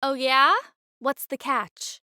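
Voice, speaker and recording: A woman's voice: high-pitched, level tone, slow pace, bright, forceful tone, dry recording, clean studio sound, heavily acted. A woman playing a distrustful, blunt young adventurer in a fantasy drama, with a sardonic manner. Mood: suspicious, curt. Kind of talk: fantasy audio drama acting.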